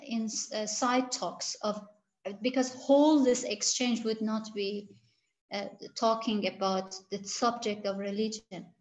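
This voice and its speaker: Woman researcher with a fairly high voice. Gilaki speaker, careful and halting, on lo-fi video-call audio. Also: a little mellow. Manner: halting, searching for words, many restarts. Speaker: interviewed researcher. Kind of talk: interview answers.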